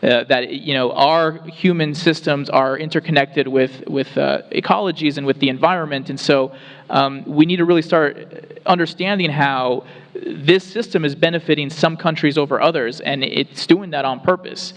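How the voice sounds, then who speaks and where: A man's voice: on the high side, normal speed. A man from the Rio Grande Valley in Texas, a researcher speaking on a town hall panel in an earnest, explanatory way with many restarts. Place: town hall meeting room. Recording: reverberant hall.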